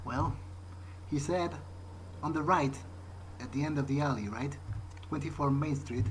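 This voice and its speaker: Slow, halting male vampire musing played by a man. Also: on the high side, slight background noise, close to an everyday voice, poor audio. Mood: pensive. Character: newly turned male vampire, wary, pragmatic, musing. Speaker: gamer role-playing his character. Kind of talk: in-character gameplay thinking aloud.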